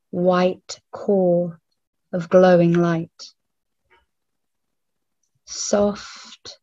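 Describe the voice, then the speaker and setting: A woman leading a guided meditation in a hushed, soothing manner, leaving long pauses between short phrases. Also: fairly low pitch. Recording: roomy, phone-like narrowband audio.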